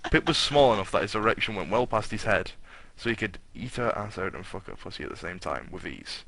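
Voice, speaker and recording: A man's voice: fairly low pitch, lively intonation, brisk, gravelly, pushed and bright, no echo. A man narrating a fan fiction as a dramatic reading, irreverent and foul-mouthed, often breaking off to comment. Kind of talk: dramatic reading with casual banter.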